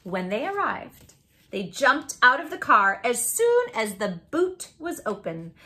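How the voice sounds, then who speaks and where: Bright upbeat lady doing kids read aloud, bit of vocal fry, sweet and encouraging, talks right to the kids. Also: medium pitch. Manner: affectionate, encouraging, addresses young listeners directly; expressive, emphatic delivery. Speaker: host reading children's picture books aloud. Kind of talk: children's read-aloud storytelling with friendly asides.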